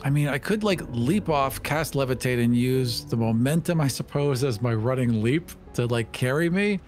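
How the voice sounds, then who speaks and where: Male player, medium pitch, casual improvised game narration, music behind. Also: expressive, normal speed, darkish tone.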